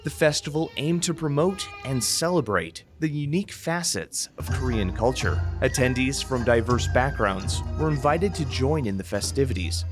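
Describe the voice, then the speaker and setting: A man reading a scripted news feature narration in a smooth, polished delivery. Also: medium pitch, expressive, normal speed, hardly any pauses. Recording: music under the voice.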